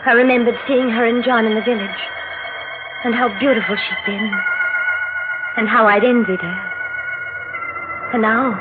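An actress playing an anxious, devoted young bride in a 1940s radio drama, earnest and hesitant. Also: female voice, fairly high pitch, steady tone, normal speed, pauses often, lightly acted. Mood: brooding narration. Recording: tinny old radio, music behind.

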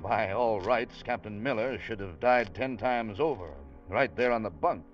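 Deep-voiced ship's officer played by an actor, old radio. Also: male voice, a little slow, soft background music, close to an everyday voice. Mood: tense, recounting. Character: anxious male ship's officer recounting a sea tale. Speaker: narrator. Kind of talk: 1940s-50s radio drama narration and acting. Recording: muffled vintage radio recording.

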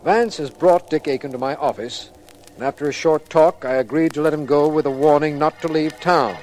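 An actor playing a brisk, skeptical, matter-of-fact district attorney in a 1940s radio drama. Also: male voice, on the high side, darkish tone, faint music behind, natural voice. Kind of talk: 1940s radio drama acting and case narration. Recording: noisy old radio recording.

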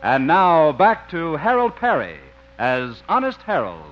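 Male announcer, vintage 1950s radio narration. Polished, fairly high, animated, with muffled old-broadcast sound. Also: measured pace. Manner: formal, polished. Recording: dull old radio recording with music.

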